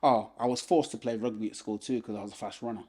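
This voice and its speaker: A man, a podcast guest telling his life story in a relaxed way, filled with "you know". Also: lowish voice, fast, husky. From England. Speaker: podcast guest, former boxer. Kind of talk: casual life-story interview.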